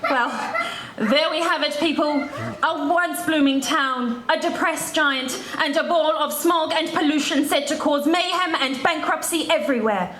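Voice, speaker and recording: A woman's voice: sits high, a little slow, a bit bright. A woman playing a dramatic TV news reporter in a stage pantomime, projecting formally in a declamatory way. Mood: grave, dramatic. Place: indoor theatre stage. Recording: echoey theatre stage, ambient noise.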